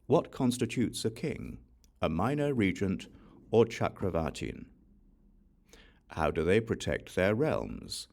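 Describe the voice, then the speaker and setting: A man reading a scripture audiobook, reciting verse in a measured, deliberate and solemn manner. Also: sits low, sing-song, gravelly, darkish tone. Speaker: audiobook reader.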